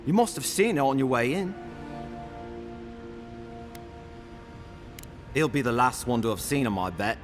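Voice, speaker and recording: A man's voice: medium pitch, big pitch swings, fast, close to an everyday voice. An actor playing a wounded expedition member in a video game, a coarse, folksy grumbler who is bitter at his crew. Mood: wry, grateful. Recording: music behind the voice. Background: game music.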